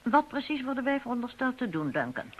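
A woman's voice: fairly high pitch, very animated, brisk. An actress playing a level-headed, thoughtful woman assisting an investigation in a radio play. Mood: inquiring. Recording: dull lo-fi radio drama recording.

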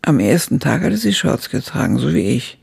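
An actress playing an elderly woman who reflectively recalls her youth, narrating a literary radio drama in a gravelly, raspy voice. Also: very deep voice, big pitch swings, normal speed, darkish tone, exaggerated voice. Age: older.

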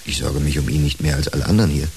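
Deep-voiced, probing psychotherapist. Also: male voice, fairly even intonation. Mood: guarded, firm. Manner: measured, questioning, gently admonishing. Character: controlled, probing, concerned male psychotherapist. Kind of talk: radio drama acting. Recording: hissy radio drama recording.

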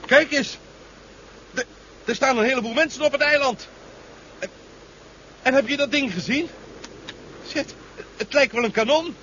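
A man's voice: very high voice, a little slow, pauses often, pushed and bright, full character voice. An actor playing a sardonic, jittery male co-pilot who grumbles and wisecracks in a 1970s Dutch radio drama. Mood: uneasy, curious. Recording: muffled, noisy 1970s radio recording.

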